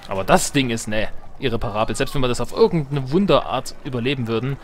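A man's voice: medium pitch, quick pace, a little hiss or hum. A man giving casual let's play commentary, reacting aloud and muttering to himself as he plays. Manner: talks to himself, exclaims and mutters in reaction to the game. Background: game music.

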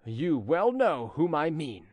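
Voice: medium pitch, sing-song, slow pace, darkish tone, no room sound, voice pushed up. A narrator voicing a jealous, haughty nobleman of old France in a declamatory, sneering, theatrical way for a storybook. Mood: wrathful accusing.

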